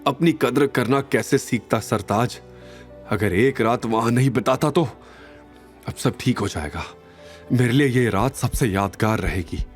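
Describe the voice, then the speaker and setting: A man's voice: medium pitch, unhurried, faint music behind, close to an everyday voice. A man playing a wounded, proud young husband in an emotional, emphatic audio drama style. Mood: firm, restrained. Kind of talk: Hindi audio drama acting.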